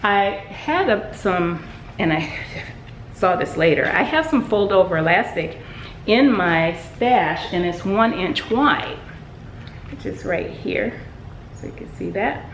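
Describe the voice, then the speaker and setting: A woman's voice: medium pitch, lively intonation, takes it slowly, reverberant, slight background noise, poor audio. A woman sewing vlogger casually showing her handmade work, chatty with many ums and restarts. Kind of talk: casual show-and-tell.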